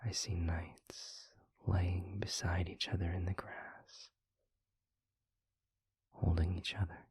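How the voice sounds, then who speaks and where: A man playing a tender, wistful demon lover in an intimate audio roleplay, whispering softly and haltingly in a breathy, husky voice, close to the mic. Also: deep voice, flat delivery, dry recording, exaggerated voice. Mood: dreamy, loving. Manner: slow, halting, soft-spoken delivery. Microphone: close microphone.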